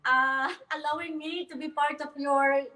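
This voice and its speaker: A woman's voice: sits high, fairly even intonation, moderate pace, sharp tone. A woman in her thirties from the Philippines, a guest in a casual online interview who laughs often and uses many fillers.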